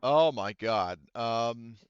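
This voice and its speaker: A man narrating a tabletop sci-fi game as game master, conversational and halting, repeating words, on a headset. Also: medium pitch, clean tone, dry recording. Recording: dull close headset sound.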